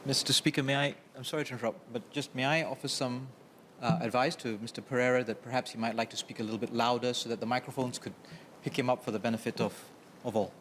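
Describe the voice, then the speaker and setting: A man's voice: medium pitch, steady tone, quick pace, fairly bright tone, a little hiss or hum. A man politely interrupting a parliamentary debate with an apologetic interjection.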